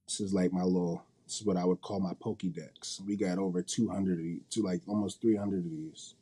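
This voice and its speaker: Male interview guest, lowish pitch and very mellow tone, casual, wandering, self-correcting delivery. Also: steady tone, fairly quick, husky. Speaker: interview guest, manga company head and creator.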